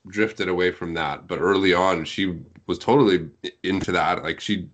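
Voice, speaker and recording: A man's voice: deep voice, moderate pace, a little hiss or hum. An American man telling anecdotes casually in an informal, rambling way. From United States.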